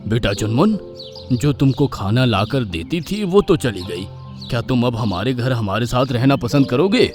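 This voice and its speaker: A man's voice: mid-range voice, animated, normal speed, a little mellow, faint music behind, natural voice. A man voicing a scheming, sweet-talking adult bird with a falsely fatherly manner in a children's cartoon. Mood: coaxing. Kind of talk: Hindi children's cartoon story acting.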